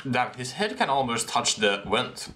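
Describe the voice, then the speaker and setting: A young man in a headset commenting casually on a game he is playing, excitable and slangy, laughing often. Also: mid-range voice, a little slow, background noise. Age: young adult. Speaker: gaming streamer. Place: small room at a desk.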